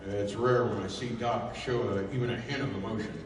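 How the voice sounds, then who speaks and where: A man's voice: fairly low pitch, steady tone, brisk, lightly acted. A man playing a sturdy, loyal, plain-spoken engineer in a 1930s-style radio adventure serial. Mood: sombre, respectful. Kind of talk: 1930s radio drama acting. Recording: echoey hall from far off, clear noise.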